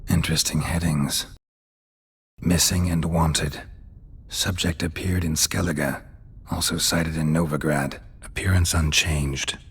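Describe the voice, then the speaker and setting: A man with an American accent playing a gruff, stoic, world-weary monster hunter in a video game, husky and deadpan in clipped phrases. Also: unhurried, heavily acted. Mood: terse musing. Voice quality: gravelly, husky. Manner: clipped, deadpan, low-key.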